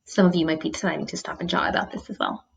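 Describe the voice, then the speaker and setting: Expressive woman teacher reading a storybook aloud. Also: deep voice, very fast, vocal fry.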